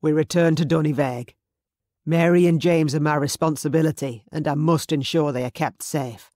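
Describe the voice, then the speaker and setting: A narrator doing a stern Scottish Highland chief. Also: steady tone, normal speed, darkish tone, dry recording, clean studio sound, natural voice. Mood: firm. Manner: firm, clipped orders.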